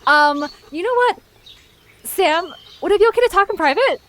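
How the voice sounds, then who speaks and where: A woman's voice: very high-pitched, lively intonation, normal speed, fairly bright tone. A woman playing an earnest, anxious, inquisitive young woman in an audio drama, with a hesitant manner. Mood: nervous, friendly.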